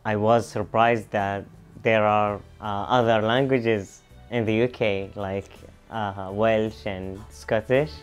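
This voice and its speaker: Man, 20s, from Saudi Arabia, slow, smooth voice, music bed. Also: on the low side, steady tone. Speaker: learner.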